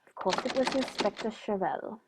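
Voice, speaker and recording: A composed gentleman detective from a radio play, acted by a woman. The voice sits at a middle pitch and speaks with precise, dryly polite, measured care. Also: very noisy, close to an everyday voice. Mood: measured recounting.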